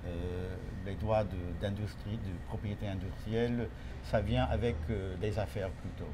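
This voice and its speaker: A man speaks as an interviewed lawyer. His phrasing is measured and halting as he searches for words, English being his language. Clear ambient noise sits behind him. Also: lowish voice, fairly even intonation. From United States. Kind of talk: interview answers.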